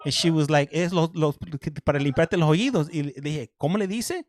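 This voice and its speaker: A man's voice: a little high, expressive, a little fast. A Mexican American man chatting casually on a video call, laughing often, stumbling over repeated words and slipping Spanish into his English. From Southern California. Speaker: guest on a prison video call. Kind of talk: casual chat.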